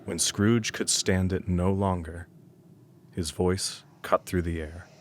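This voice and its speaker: A man's voice: low-pitched, fairly even intonation, slow, a little hiss or hum. A man narrating an audio drama as a grave, solemn storyteller with an ominous manner.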